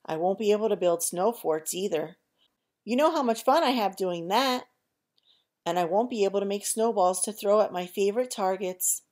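A woman's voice: mid-range voice, expressive, moderate pace, a little mellow, close to an everyday voice. A woman voicing a grumpy young rabbit in a storybook read-aloud, complaining and grumbling. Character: grumpy young rabbit who loves winter.

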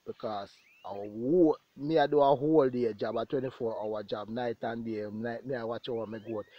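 A dull, lo-fi phone recording with a dry, close sound carries a Jamaican man's voice. He sits at a middle pitch and chats casually to his viewers outdoors, repeating phrases to drive his points home. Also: moderate pace, no room sound. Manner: conversational, repeats phrases for emphasis. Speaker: goat farmer and vlogger. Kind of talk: casual vlog talk to viewers. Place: outdoors in an open grassy pasture.

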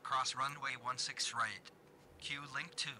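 A man's voice: mid-range voice, steady tone, measured pace. A man voicing a businesslike airline pilot giving clipped readbacks over aircraft radio in a simulator game. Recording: sharp, faintly noisy radio audio.

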